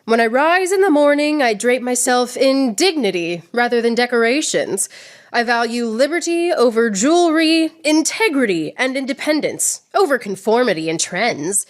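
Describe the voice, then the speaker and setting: A woman's voice: a little high, expressive, normal speed, natural voice. A woman playing a proud, witty swordswoman poet in radio theatre, declaiming verse with mocking precision. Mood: proud, sardonic.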